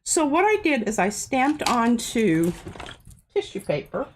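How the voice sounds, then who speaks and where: Woman craft host, congested, animated delivery, rambling and self-mocking. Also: on the high side, measured pace, a little hiss or hum. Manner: chatty, self-deprecating, talks while working. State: stuffy nose. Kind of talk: casual art demonstration. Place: home art studio.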